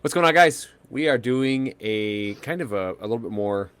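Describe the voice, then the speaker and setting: A man's voice: mid-range voice, lively intonation, normal speed, a bit bright, clean tone, no room sound. A man co-hosting a podcast in casual chat, rambling and hesitant, with many fillers and restarts. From United States. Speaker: podcast co-host.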